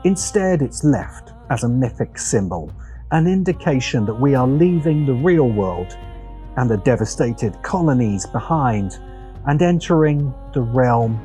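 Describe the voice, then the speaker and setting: Male video essay narrator, dark warm timbre, mid pitch, scripted, measured dramatic phrasing. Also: big pitch swings, faint music behind.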